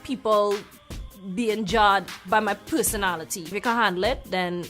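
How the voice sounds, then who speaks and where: A woman speaks boldly and frankly in a confessional, sure of herself. Her voice is mid-range. Soft music sits behind her. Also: mid-range voice, normal speed. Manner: bold, self-assured, frank. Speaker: reality show cast member. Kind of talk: confessional interview.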